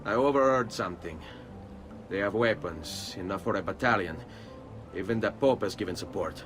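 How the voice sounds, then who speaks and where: A man's voice: on the low side, normal speed, music in the background, natural voice. A man playing a determined young Italian nobleman in a video game, speaking with an Italian accent. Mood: urgent. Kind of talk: video game cutscene acting.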